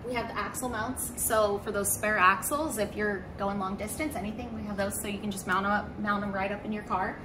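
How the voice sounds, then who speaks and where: Helpful shop woman over loud noise. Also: mid-range voice, fairly even intonation. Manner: brisk, helpful, salesy. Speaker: shop staff. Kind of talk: casual chat. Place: large indoor parts warehouse.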